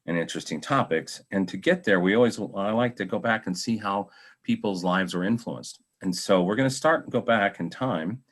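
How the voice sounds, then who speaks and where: A man's voice: lowish voice, normal speed. An American man hosting an interview in a casual, joking, rambling way over a video call. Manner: rambling, jokey. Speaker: talk show host, interviewer.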